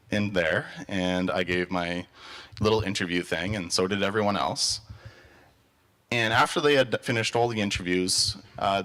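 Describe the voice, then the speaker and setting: A young man from Canada speaks earnestly to a live audience, telling stories and offering advice. His voice sits on the low side. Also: a bit bright. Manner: earnest, storytelling. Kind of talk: motivational talk with audience Q&A.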